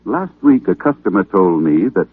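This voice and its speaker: A reassuring American druggist with a low voice and lively emphasis. He sounds earnest and scripted on a tinny old radio commercial. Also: moderate pace, slightly rough, no room sound, full character voice. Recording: tinny vintage radio recording.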